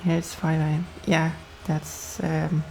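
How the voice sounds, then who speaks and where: A woman's voice: low-pitched, level tone, slow, creaky, warm tone, a little hiss or hum, low-quality recording. A young Swedish woman with a Swedish accent, chatting casually with her viewers while playing a racing game, laughing often. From Sweden. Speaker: streamer. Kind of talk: casual gameplay commentary.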